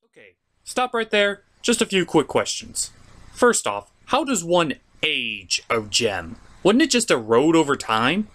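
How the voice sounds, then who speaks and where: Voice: mid-range voice, big pitch swings, a little slow, a bit bright. A reviewer giving scripted comedic narration in a theatrical, sarcastic, mock-exasperated way, with some swearing. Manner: profane. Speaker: cartoon reviewer and host.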